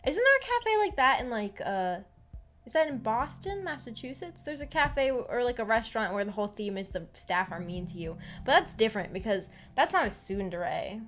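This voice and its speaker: A woman's voice: on the high side, very animated, a little fast, a bit bright. A woman streamer chatting casually, giggly and hesitant, rambling and repeating her words. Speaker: VTuber streamer hosting a visual novel book club. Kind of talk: casual livestream chat. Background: background music.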